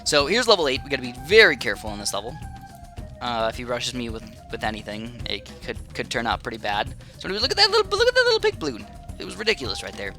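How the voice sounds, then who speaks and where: Man, gameplay commentator, fast rambling chat, lots of fillers, clear game music behind. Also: medium pitch, big pitch swings, pushed and bright. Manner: rambling and chatty, many fillers and restarts. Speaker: gaming channel host. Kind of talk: casual live gameplay commentary. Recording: game music playing under the voice.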